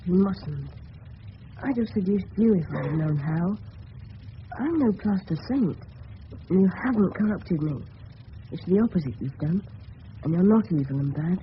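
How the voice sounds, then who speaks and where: Earnest English schoolboy, muffled old recording. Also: male voice, high-pitched, expressive, unhurried, pauses often. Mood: tender, earnest. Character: earnest, polite teenage schoolboy of 1930s England. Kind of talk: 1970s radio drama acting. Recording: muffled, noisy old radio broadcast.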